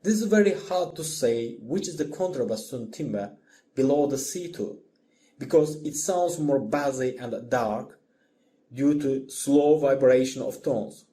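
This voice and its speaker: A man giving a scripted lecture as a voice-over, in a measured, explanatory manner. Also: mid-range voice, expressive, dark tone. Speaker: music lecturer.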